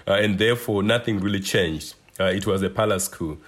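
A man speaking as an interview guest, giving political analysis in a measured, halting way with deliberate pauses. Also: low voice, slightly rough. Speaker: interview guest, human rights advocate.

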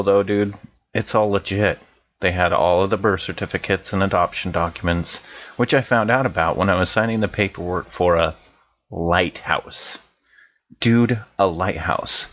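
A low, slightly muffled male voice, acted by a man as a guarded guy in his thirties. He talks like he is confiding in an old buddy, informal and measured, in an audio drama monologue. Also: a bit bright. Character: wary, chummy man in his thirties dictating a personal message. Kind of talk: scripted in-character monologue, conversational.

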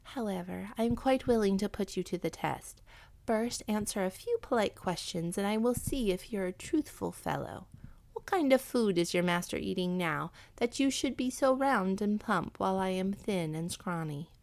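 A woman's voice: mid-range voice, animated, moderate pace, creaky, darkish tone. A woman voicing a sly, haughty female cat in a children's story, with a purring, scornful, commanding manner. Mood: scornful, testing. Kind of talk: children's animal storybook character.

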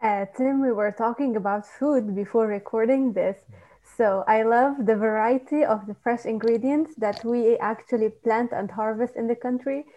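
A woman's voice: on the high side, moderate pace, mellow, dull audio. A woman from Lebanon with a Lebanese accent, a native Arabic speaker, answering interview questions earnestly and with some hesitation over a video call. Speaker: student ministry coordinator.

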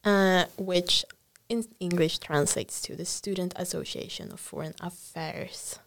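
A woman's voice: on the low side, a little slow, gravelly. A young woman with a Swedish accent, answering casually as a student guest in an interview. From Sweden. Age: young adult. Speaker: podcast guest, student association president.

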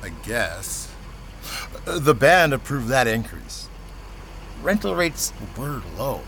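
A man playing a hesitant, apologetic landowner in an audio drama, talking in a halting, low-key, indirect way. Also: sing-song, gravelly, fairly bright tone, a little hiss or hum, exaggerated voice. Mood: defensive, weary. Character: hesitant, apologetic male landowner asking for money. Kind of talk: naturalistic audio drama dialogue.

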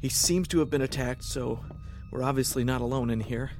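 A man's voice: mid-range voice, brisk, a little mellow, faint music behind, close to an everyday voice. A man acting a wary, pragmatic military officer stranded on a hostile ship in a science fiction audio drama, terse and dry as he talks to himself. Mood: guarded, reporting. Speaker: lead actor in audio drama.

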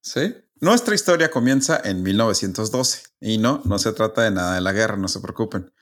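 A man's voice: on the low side, expressive, brisk, a bit bright. A man with a Mexican accent hosting a podcast, telling a story conversationally with asides and checking tag questions. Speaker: podcast host. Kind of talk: casual storytelling with friends.

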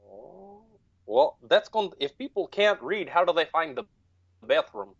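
A man's voice: high-pitched, big pitch swings, a little slow, gravelly, no room sound, dull audio, exaggerated voice. A man voicing a droll, hesitant, thousand-year-old horror sidekick in comic podcast banter.

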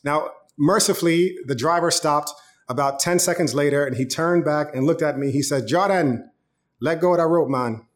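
A man's voice: fairly high pitch, moderate pace. A man in his thirties, a pastor giving an online sermon in a casual, conversational way, telling personal stories. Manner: casual, conversational preaching with storytelling.

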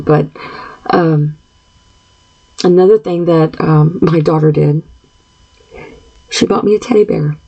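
A woman in her fifties speaking an earnest, halting personal monologue, often clearing her throat and pausing on fillers. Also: on the low side, mellow, low-quality recording. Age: 50s.

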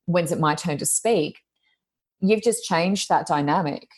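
Low-voiced, loud, expressive woman. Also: unhurried, breathy edge. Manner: loud, expressive, self-reflective. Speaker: podcast co-host, coach. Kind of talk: casual chat.

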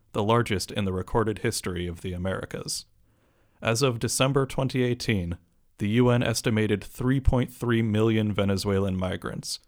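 A man reading a scripted radio news report in a measured, even way. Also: fairly low pitch.

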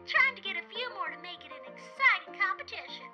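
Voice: extremely high pitch, lively intonation, brisk, full character voice. A performer playing a sponsor announcer in an old-time radio commercial parody. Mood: salesy. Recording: dull radio sound, loud music.

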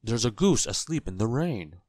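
A man voicing a forgetful, good-natured storybook gentleman who is hesitant, muddled and easily flustered. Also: medium pitch, big pitch swings, moderate pace, dry recording, studio quality. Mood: earnest.

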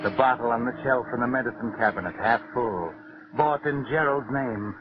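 An actor playing a sinister, insinuating narrator who taunts a would-be killer in dramatic 1940s radio mystery style. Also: male voice, mid-range voice, natural voice. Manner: dramatic, taunting, deliberate second-person storytelling. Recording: dull, noisy 1940s radio recording.

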